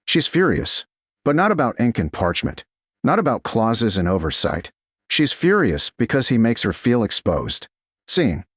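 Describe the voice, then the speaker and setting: A man's voice: medium pitch, sing-song, a little slow, husky, dry recording, clean studio sound. A man reading a romance story as a dramatic audiobook narrator, in short, weighted, suspenseful phrases.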